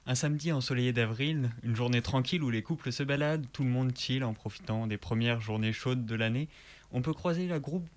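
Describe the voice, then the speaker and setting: A man delivering a written first-person militant monologue in colloquial, slangy words, like a radio columnist. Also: medium pitch.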